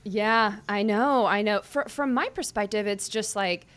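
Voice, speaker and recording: A woman, a sports reporter hosting a podcast, asking questions in an earnest, halting way with frequent restarts. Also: mid-range voice, a bit bright. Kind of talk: conversational interview.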